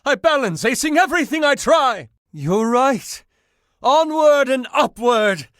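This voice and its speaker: An actor playing a flamboyant, excitable washing machine in a video game, grandiose, romantic and melodramatic in delivery. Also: male voice, very high voice, unhurried, dry recording, studio quality, heavily acted. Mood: triumphant, dramatic. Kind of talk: theatrical video game voice acting.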